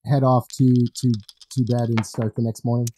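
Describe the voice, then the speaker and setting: A man roleplaying and narrating at a tabletop game in measured, halting phrases. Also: mid-range voice, level tone, dark tone, slight background noise.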